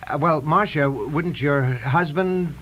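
A man's voice: fairly high pitch, a little slow, natural voice. An actor playing a flustered, old-fashioned married man who hesitates and stammers, in a radio comedy-drama. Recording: muffled, faintly noisy radio recording.

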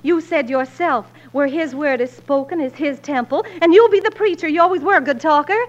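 A spirited, protective young wife of the frontier acted by a woman in a forties radio drama. Her voice is high and earnest, on a muffled old recording. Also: fairly quick, natural voice. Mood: determined, persuasive. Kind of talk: 1940s radio drama acting.

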